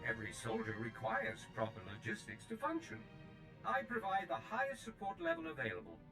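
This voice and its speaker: An actor playing a fussy, proud and servile steward droid in a video game, with formal, precise and theatrical delivery. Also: male voice, mid-range voice, wildly swooping pitch, a little fast, music in the background. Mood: proud, informative. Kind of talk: video game character acting.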